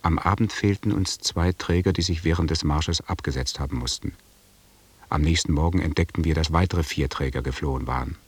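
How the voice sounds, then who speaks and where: A witness narrating an expedition in a radio drama. The voice is extremely low and somewhat hoarse-grained, set back from the mic. He reports facts plainly and soberly, without ornament. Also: a little fast, no echo, distant mic. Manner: matter-of-fact, factual reporting. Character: sober expedition member recounting the march as witness.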